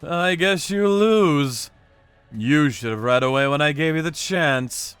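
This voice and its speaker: Guy doing a smug sadistic avenger from a manga, taunting, drawn out, forceful. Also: fairly high pitch, slow pace, clean tone, dry recording, faint music behind.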